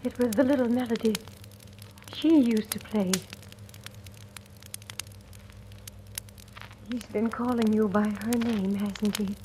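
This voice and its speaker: A woman's voice: on the high side, fairly even intonation, normal speed, dark tone, close to an everyday voice, rough recording. An actress playing a blunt, bitter, resigned household woman in old-time radio drama style. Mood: accusing. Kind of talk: 1940s-50s radio drama acting. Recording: old radio recording, faint noise.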